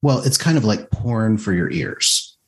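A man hosting a podcast and asking interview questions in a measured way. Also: fairly low pitch, animated. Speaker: podcast host.

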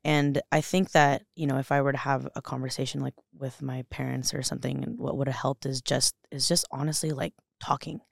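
A woman's voice: extremely low pitch, flat delivery, brisk, gravelly, hardly any pauses, no room sound, studio quality. A young adult woman, a podcast guest, speaking earnestly and emotionally, with many fillers and restarts. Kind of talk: candid interview conversation.

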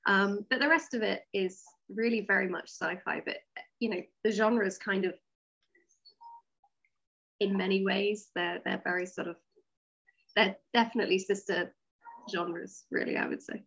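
Husky Englishwoman chatting in an interview. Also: medium pitch, steady tone, moderate pace, long pauses, a bit bright, lo-fi sound. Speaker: interview guest, science fiction writer. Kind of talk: casual interview chat.

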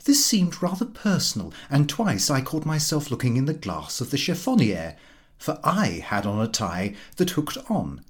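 A man reading comic fiction aloud in the first person, with measured, expressive storytelling. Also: mid-range voice. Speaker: audiobook reader.

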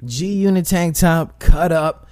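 A man with a high voice hosting a casual radio chat, animated and profane, giving shout-outs and often repeating himself. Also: a little slow, no echo, clean studio sound. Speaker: internet radio host.

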